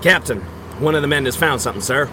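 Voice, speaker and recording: An earnest, deferential sailor, acted by a man, second to his captain in a radio adventure. He speaks at a middling pitch, respectful yet insistent, with noisy sea ambience around him. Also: expressive, quick pace, close to an everyday voice. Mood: pleading, deferential. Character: earnest, deferential ship's mate. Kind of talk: 1930s-style radio adventure acting.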